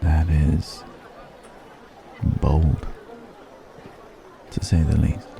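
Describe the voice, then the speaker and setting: A man playing a suave, probing dragon posing as a curious stranger, musing and gently mocking in an intimate ASMR roleplay. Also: very deep voice, flat delivery, creaky, background noise, full character voice. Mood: musing, challenging. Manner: deliberate, musing, gently mocking, long pauses. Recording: background music and crowd murmur.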